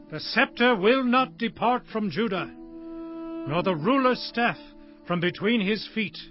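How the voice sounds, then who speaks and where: An old Hebrew patriarch in a scripture drama. He declaims solemnly and very slowly, in a fairly high voice for a man. Music plays softly behind him. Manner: solemn, deliberate, grandly pronouncing. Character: frail aged Hebrew patriarch solemnly blessing his sons. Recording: muffled lo-fi audio under music.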